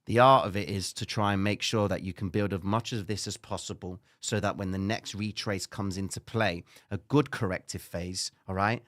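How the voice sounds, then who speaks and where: A man with a Cypriot accent hosting a live trading stream, talking straight to viewers with frequent tag questions. He jumps between loud, animated lecturing and low, muttered asides. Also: normal speed, hardly any pauses. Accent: Cypriot English. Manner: casual, frequent "all right?" tag questions, occasional swearing. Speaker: livestream trading host. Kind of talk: live stream chat reading viewer comments.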